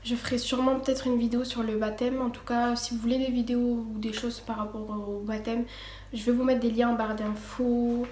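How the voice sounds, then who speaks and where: A woman's voice: on the high side, little pitch movement, brisk, darkish tone. Teenage girl, echoey room. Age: teens. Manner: hesitant, many fillers and restarts; partly reading from notes. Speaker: vlogger. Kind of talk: casual personal testimony. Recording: echoey room, faint background noise.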